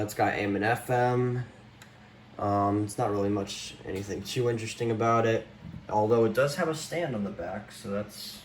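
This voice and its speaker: Casual man, lowish voice, off-mic. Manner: slangy, casual, easily exasperated. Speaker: vlogger showing a radio collection. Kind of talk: casual unscripted show-and-tell. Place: indoors, room at home. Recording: distant mic, faint background noise.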